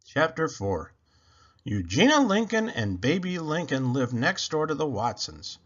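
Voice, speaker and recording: Male children's read-aloud narrator: expressive, slightly high, forceful, muffled lo-fi sound. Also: a little slow.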